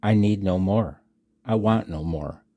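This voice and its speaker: Slow, very low-voiced older American man. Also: warm tone. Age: 60s. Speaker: amateur radio vlogger. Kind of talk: reading own written announcement aloud with asides.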